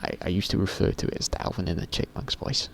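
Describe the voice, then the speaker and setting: A teenage boy with a very deep voice, rambling casually to camera in a vlog, often stopping himself mid-sentence and swearing offhandedly. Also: fast, a little hiss or hum. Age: teens. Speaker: YouTuber.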